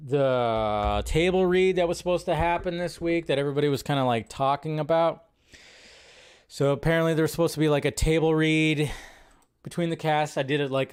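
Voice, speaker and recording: A man's voice: fairly high pitch, smooth. A man hosting a live stream, chatting casually and thinking aloud as he goes, with frequent restarts. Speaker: host.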